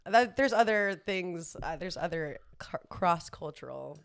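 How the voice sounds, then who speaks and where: A woman's voice: fairly low pitch, animated, a little slow, vocal fry, clean tone. A woman, chatting casually in a candid, slightly hesitant way. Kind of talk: casual chat.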